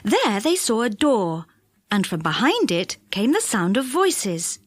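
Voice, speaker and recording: A woman narrating a children's audiobook in an expressive storytelling style. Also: a little high, a little slow, no echo, studio quality.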